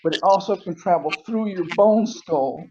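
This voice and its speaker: High-voiced man in his sixties, halting. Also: background noise. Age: 60s. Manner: agreeable, halting, explanatory. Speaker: interview guest giving testimonial. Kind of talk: casual interview. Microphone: remote call. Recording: dull, muffled call audio.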